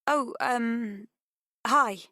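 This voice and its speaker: A nervous teenage girl, acted by a woman narrating an audiobook, courteous and hesitant. Her voice sits higher than the narration, close and dry, with small stammers as she picks her words. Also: expressive, moderate pace, fairly bright tone, no room sound, lightly acted. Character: nervous, polite teenage girl amateur investigator. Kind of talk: audiobook character dialogue.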